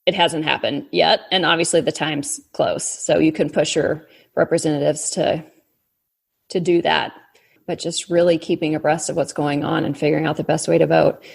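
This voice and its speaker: A woman's voice: low-pitched, flat delivery, normal speed, gravelly, husky. A woman from Texas with a faint Texas accent, an author explaining things patiently to a child in a casual interview. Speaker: author guest.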